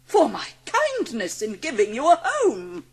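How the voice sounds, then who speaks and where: Haughty, cruel schoolmistress with swooping pitch. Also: female voice, wildly swooping pitch, full character voice. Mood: stern, contemptuous. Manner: imperious, scornful, drawn-out emphatic delivery. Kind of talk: dramatised audiobook acting.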